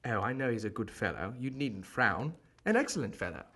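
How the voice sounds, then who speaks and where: A man acting in an audio drama as a genial but guarded Victorian gentleman doctor, speaking in formal, courteous, earnest phrases. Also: mid-range voice, big pitch swings, brisk. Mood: genial, scornful.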